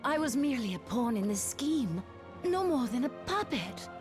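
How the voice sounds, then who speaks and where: An actress playing a scheming, falsely meek queen of the dead in a game, with theatrical, drawn-out phrasing. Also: high-pitched, very animated, over music. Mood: mock pleading.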